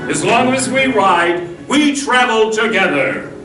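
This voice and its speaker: A man's voice: high-pitched, animated, slow pace, full character voice. A man playing a noble, fatherly cowboy hero with earnest, theatrical solemnity in an old-time radio drama. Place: live stage radio show in a hall. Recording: echoey hall from far off, loud music behind.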